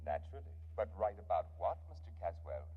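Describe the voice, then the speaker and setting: An actor playing a patient, patronizing robot therapy machine in a 1950s radio drama. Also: male voice, sits low, very animated, fast, slightly rough, heavily acted. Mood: soothing, condescending. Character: calm, insistent robot psychotherapy machine, Martian model. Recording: muffled vintage radio recording.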